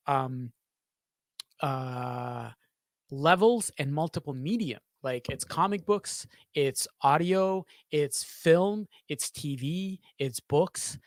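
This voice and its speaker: Dry-sounding man, halting and thoughtful. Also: on the high side, no room sound. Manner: halting, searching for words, repeats phrases. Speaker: sound designer. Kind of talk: thoughtful interview talk. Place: small treated home studio, indoors. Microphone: studio microphone on a boom arm, close.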